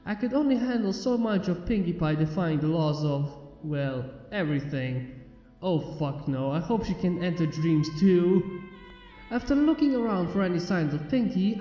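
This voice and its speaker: A man's voice: sits high, warm tone. A man reading a first-person fan fiction story aloud as scripted narration. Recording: roomy echo, reverb, music behind. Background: background music.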